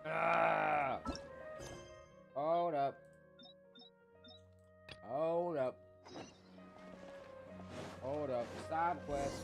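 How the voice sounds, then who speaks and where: A man streaming a game, cutting in with drawn-out interjections. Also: fairly high pitch, steady tone, vocal fry, music in the background. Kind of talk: reacting to a game.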